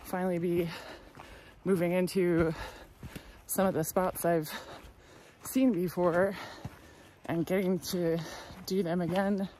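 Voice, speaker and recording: A woman's voice: fairly low pitch, little pitch movement, slow pace, warm tone, a little hiss or hum, rough recording. A woman in her thirties vlogging while hiking a forest trail, talking casually and wryly into a phone held at arm's length. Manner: chatty, wry, breathy from hiking. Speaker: thru-hiker vlogger. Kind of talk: casual walking vlog.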